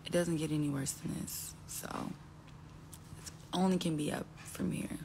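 A woman's voice: sits low, fairly even intonation, moderate pace, vocal fry, warm tone, a little hiss or hum. A young woman talking casually into her phone on a live stream at home, rambling and trailing off as she speaks. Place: indoors at home, phone selfie. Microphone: phone held close.

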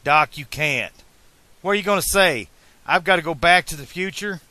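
A man voicing an earnest, excitable teenage boy in an audiobook, talking in an emphatic, colloquial, pleading way. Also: fairly high pitch, unhurried, dry recording, pitched above the performer's own voice. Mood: exasperated. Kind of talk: audiobook character dialogue.

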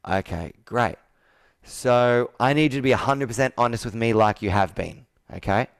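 A man's voice: mid-range voice, normal speed, vocal fry, clear, resonant tone, dry recording, clean studio sound. A man in his thirties working as a sales coach, asking direct, probing, persuasive questions in a conversational roleplay on a video call. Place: indoor room with whiteboard, on a video call.

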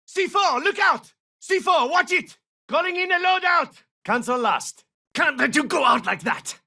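Shooter game soldier, male, sharp and forceful, super animated pitch, clipped military callouts, bit far from mic. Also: unhurried, vocal fry, full character voice. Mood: urgent, shouting. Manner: clipped, commanding, tactical. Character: tough, terse male special forces soldier. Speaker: video game operator voice actor.

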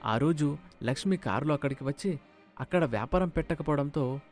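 A man's voice: on the high side, lively intonation, a little fast, darkish tone, faint music behind. A man narrating a scripted moral story as the storyteller.

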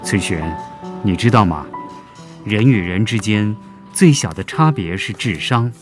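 A man acting a kindly, patient schoolteacher with a measured, encouraging manner in a radio drama. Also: lowish voice, lively intonation. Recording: music behind the voice.

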